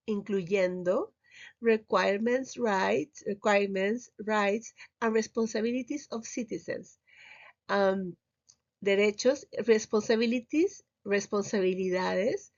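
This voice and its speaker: A woman with a fairly high voice and a Peruvian Spanish accent teaches a slide lesson to students. Spanish is her first language, and she phrases things simply and hesitantly. The recording is a little muffled. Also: darkish tone. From Lima, Peru. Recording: slightly muffled, dull audio.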